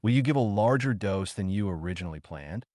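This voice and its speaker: Man playing a skeptical veterinarian, formal, pointed, animated pitch, dry audiobook recording. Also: a little fast, no echo, natural voice. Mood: challenging. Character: skeptical, challenging male veterinarian, adult.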